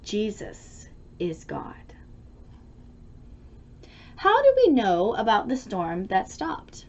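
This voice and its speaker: A woman reading a children's storybook aloud expressively, with dramatic, deliberate emphasis. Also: mid-range voice, huge pitch swings, a little mellow, lo-fi sound, slight background noise.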